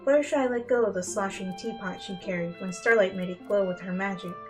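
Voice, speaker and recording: Female storybook narrator, mid-pitched, dramatic reading style, soft instrumental music underneath. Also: a little fast. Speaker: fan fiction reader. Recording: music bed behind the voice.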